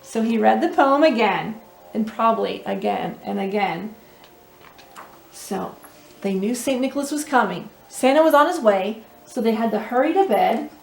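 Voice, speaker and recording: A woman's voice: on the high side, lively intonation, normal speed, creaky, slight background noise. A woman hosting a children's storytime, reading expressively and speaking to young listeners with teacherly questions and asides. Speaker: children's storytime host and reader. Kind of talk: animated read-aloud with asides to young listeners. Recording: roomy echo with music underneath.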